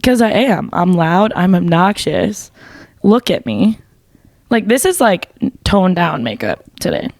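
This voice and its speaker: A woman's voice: on the low side, sing-song, measured pace, a little mellow, dry recording. A young woman, a makeup artist guest on a podcast, talking bluntly and casually, swearing freely and saying "like" a lot. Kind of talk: casual interview chat.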